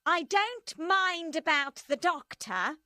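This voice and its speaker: A woman voicing a cold, vengeful female revolutionary in an audiobook, speaking slowly and emphatically. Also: very high voice, animated, bright, forceful tone, no echo, clean studio sound, voice pushed up, full character voice. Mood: ominous.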